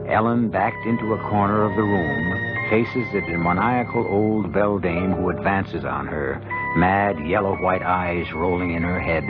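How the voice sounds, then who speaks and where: A man hosting a radio drama, narrating in an ominous, deliberate, theatrical and ornate style. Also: deep voice. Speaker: host and narrator. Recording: dull old radio recording. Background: music.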